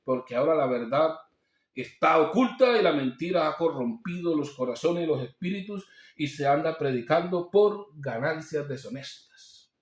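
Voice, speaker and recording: A man's voice: fairly high pitch, lively intonation, normal speed. Preaching man in an echoey room. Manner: preaching with rhetorical questions and scripture quotes. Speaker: pastor. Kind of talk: sermon.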